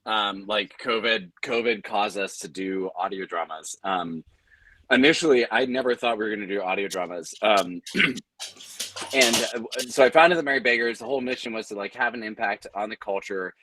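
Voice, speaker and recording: A man's voice: fairly low pitch, normal speed, pushed and bright, slight background noise, rough recording. A man speaking casually as a panelist, telling anecdotes in a wry, self-deprecating way with emphatic repetition. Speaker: panelist, audio drama producer and company founder.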